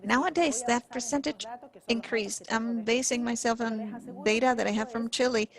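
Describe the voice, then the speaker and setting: A woman interpreting forum talks, speaking in short halting phrases. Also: fairly high pitch, crowd noise.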